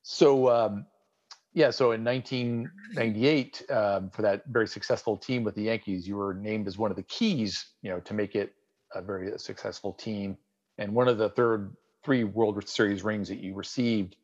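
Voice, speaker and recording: A man's voice: lowish voice, fairly even intonation, moderate pace, a little mellow, muffled recording. A man co-hosting a podcast interview, asking questions in an enthusiastic, chatty way. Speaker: podcast co-host. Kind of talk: casual interview.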